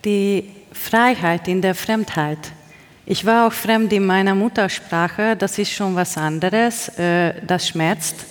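A woman's voice: medium pitch, slow. A woman poet with a strong Hungarian accent, Hungarian native, giving reflective interview answers and often searching for words. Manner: searching for words, self-correcting. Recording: long reverb of a hall.

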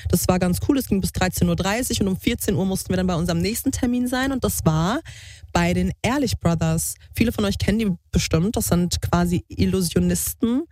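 Slightly hoarse young woman chatting. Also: brisk, a little mellow, no room sound. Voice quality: slightly husky, lowered voice. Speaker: podcast co-host. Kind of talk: casual chat.

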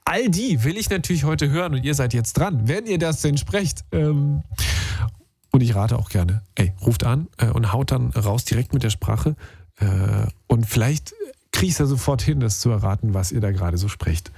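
A man's voice: medium pitch, expressive, normal speed, gravelly, mellow, slightly rough, no room sound. A man hosting a radio call-in show, chatty and upbeat, using youth slang. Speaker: radio host. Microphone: studio microphone.

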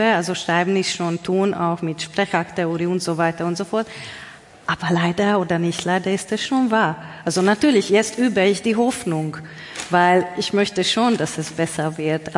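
A woman with a Hungarian accent, a poet answering interview questions in a reflective, searching way, often restarting phrases. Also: medium pitch. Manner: searching, restarts phrases, occasional article slips. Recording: long hall reverb.

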